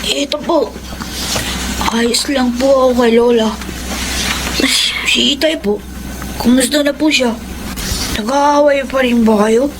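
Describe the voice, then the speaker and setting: A polite young Filipino boy from a radio melodrama. His voice is very high, soft and wistful. Clear ambient noise sits behind it. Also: slow, pauses often.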